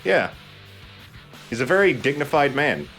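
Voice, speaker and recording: A man's voice: medium pitch, sing-song, a little slow, a bit bright. A man hosting a let's play with casual, informal commentary, enthusiastic about small details. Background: game music.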